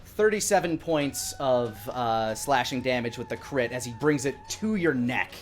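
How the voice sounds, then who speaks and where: A man in his thirties narrates a tabletop fantasy game as game master. His pitch is mid-range and music plays behind him. He improvises the action and rulings on a close mic. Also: animated, normal speed.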